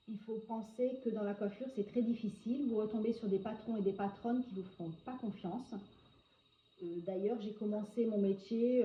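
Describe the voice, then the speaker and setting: Hesitant woman in her forties, echoey room. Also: mid-range voice. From northern France. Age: 40s. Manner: hesitant, frequent filler pauses. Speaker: hairdresser interviewee. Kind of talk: answering interview questions. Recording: echoey, dull lo-fi sound with faint noise.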